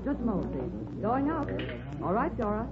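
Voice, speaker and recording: Casting: actress as a brisk, efficient woman boss of elevator girls, animated calling delivery, thin vintage radio sound. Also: mid-range voice, crowd noise, natural voice. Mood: brisk, busy. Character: brisk, busy female elevator starter calling out orders. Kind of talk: 1950s radio crime drama acting. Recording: tinny, faintly noisy old radio recording.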